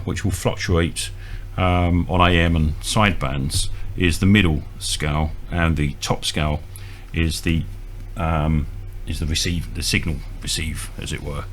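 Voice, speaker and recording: A man presenting an informal, hands-on equipment demonstration, explaining step by step with a hesitant delivery. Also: low-pitched. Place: indoors at a small equipment bench. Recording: audible electrical hum and noise.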